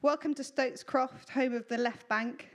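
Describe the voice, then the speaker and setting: A woman with a high voice presents at a live storytelling event. She reads out entries and announces in an informal style, with a giggly, jokey and self-deprecating manner. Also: fairly even intonation, unhurried. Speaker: presenter announcing writing contest winners.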